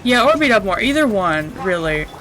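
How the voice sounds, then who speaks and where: A woman's voice: mid-range voice, sing-song, normal speed. A young woman livestreaming a video game with casual, rambling commentary, blunt and slangy with some swearing. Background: game music.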